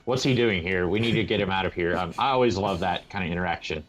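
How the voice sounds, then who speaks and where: A man chatting casually as a panelist on a comics podcast, hesitant and halting in how he talks. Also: low-pitched, rough recording. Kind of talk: casual comic book discussion.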